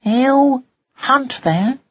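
A man voicing an eager, earnest and excitable young male cat in a children's storybook. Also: very high-pitched, very slow, lo-fi sound, slight background noise, voice pushed up, full character voice. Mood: curious, worried.

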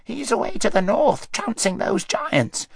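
A man's voice: sits high, big pitch swings, unhurried, gravelly, husky, no echo, clean studio sound, pitched above the performer's own voice, exaggerated voice. A man voicing a chatty, sleepy male hedgehog in a storybook reading, rambling and easygoing.

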